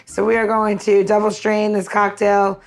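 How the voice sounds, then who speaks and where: A woman's voice: medium pitch, monotone, normal speed. Informal, playful woman podcast host. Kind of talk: casual chat with recipe walk-through.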